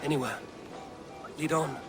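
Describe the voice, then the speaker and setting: A man playing a warm, earnest Viking clan leader in a video game, speaking in a measured, plain-spoken, gently teasing way. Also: on the high side, steady tone, over music, close to an everyday voice. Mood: calm, attentive. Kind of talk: video game dramatic dialogue. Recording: noisy outdoor ambience behind voice.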